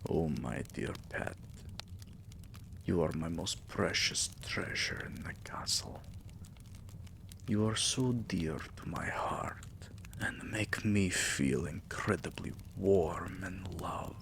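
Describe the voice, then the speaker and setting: A man playing a tender, possessive vampire king in an intimate ASMR roleplay, murmuring soothingly and affectionately in a breathy, gravelly half-whisper. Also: deep voice, expressive, slow, a bit bright, full character voice. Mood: tender, adoring.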